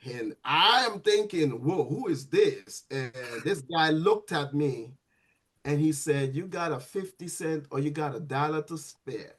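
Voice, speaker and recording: A man, a nurse guesting on a podcast, telling his story in a lively way with frequent restarts. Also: fairly high pitch, unhurried. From Sierra Leone. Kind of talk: casual storytelling interview.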